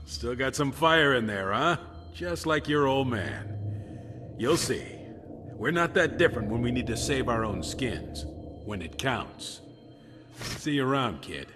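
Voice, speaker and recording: An actor playing a ruthless, cynical criminal in a video game, taunting and condescending. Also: male voice, mid-range voice, expressive, a little slow, close to an everyday voice. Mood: smug, callous. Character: smug, ruthless older male criminal condescending to a youngster. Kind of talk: scripted game dialogue. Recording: long reverb, music behind.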